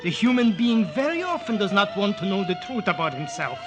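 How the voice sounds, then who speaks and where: A man's voice: high voice, sing-song, fairly quick. An actor playing a fussy, wry older psychoanalyst, blunt and fatherly, who grumbles and lectures in 1940s radio drama style. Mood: coaxing, lecturing. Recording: restored vintage radio broadcast, music behind.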